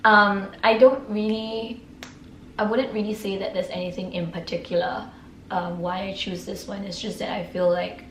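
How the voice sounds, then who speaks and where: Woman, hoarse, raspy, sore throat, chatty beauty vlogger, echoey room, faint background hiss. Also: mid-range voice, steady tone, normal speed, fairly bright tone. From Singapore. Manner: chatty, filler-heavy, keeps clearing throat. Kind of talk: casual product walkthrough.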